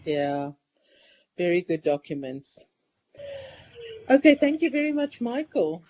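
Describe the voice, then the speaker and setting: A man's voice: high-pitched, very animated, slow pace, a little mellow. A man moderating a conference call, giving brief, courteous thanks and acknowledgements. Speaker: meeting convener. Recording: dull lo-fi call audio with faint noise.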